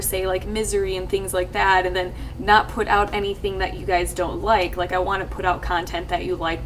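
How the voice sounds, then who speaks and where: A young woman talking casually to her viewers, rambling with lots of fillers and restarts, her voice a little husky. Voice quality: slightly husky, low-ish voice. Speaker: YouTube let's player. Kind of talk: casual talk to camera. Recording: distant mic with faint ambient noise.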